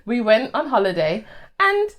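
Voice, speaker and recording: Woman host, 30s, bright lively pitch, animated home chat. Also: moderate pace. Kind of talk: casual chat.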